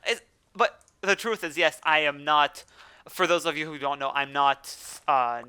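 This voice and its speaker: A man speaking English with a French accent hosts a relaxed gaming podcast. His voice is very bright and smooth, and he rambles, restarting sentences as he goes. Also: a little high, unhurried. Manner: rambling, self-correcting, chatty. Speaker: let's play host. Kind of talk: casual podcast chat while gaming.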